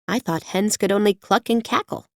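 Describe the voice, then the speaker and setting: A woman's voice: mid-range voice, moderate pace, no room sound, studio quality, voice pushed up, natural voice. Woman playing a polite, wondering little girl. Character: polite curious little girl, castaway at sea.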